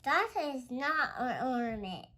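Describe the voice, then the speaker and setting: A child reading a Christmas picture book aloud, halting and stumbling over words. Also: mid-range voice, animated, sharp tone.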